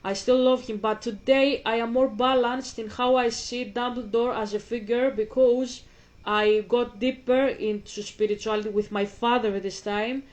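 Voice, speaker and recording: A woman's voice: a little high, fairly even intonation, moderate pace, a little mellow, poor audio. A woman in her twenties with a Greek accent, hosting a casual commentary, rambling with many restarts and repeats. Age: 20s. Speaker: host.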